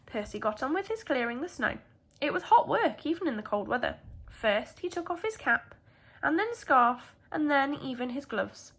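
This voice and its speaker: British woman, children's storyteller, teacherly, expressive, very lively intonation, bright tone. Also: high voice, moderate pace. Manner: expressive, addressing young children. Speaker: primary school teacher reading a story.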